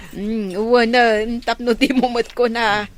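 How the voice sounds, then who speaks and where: A woman's voice: on the high side, slow. A woman playing a friendly village auntie who runs a canteen in an Ilocano comedy radio drama, with an effusive, singsong delivery. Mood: welcoming.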